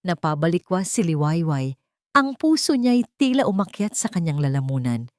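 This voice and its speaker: A woman narrating a dramatic story in an expressive, suspenseful storytelling manner. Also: fairly low pitch, moderate pace, no echo, studio quality.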